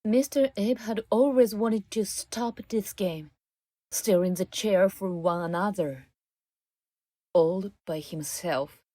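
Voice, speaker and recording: A woman playing an earnest, soft-hearted young gatekeeper in a radio drama, speaking hesitantly. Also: mid-range voice, takes it slowly, no echo. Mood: sad.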